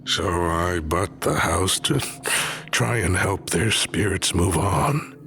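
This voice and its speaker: Gruff older man, very low gravelly voice, slow and mournful, audio drama with music underneath. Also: animated, pushed and bright, exaggerated voice. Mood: weary, guarded. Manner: slow, somber, deliberate. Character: grieving older man, gruff, weary haunted-house owner. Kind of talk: scripted audio drama acting.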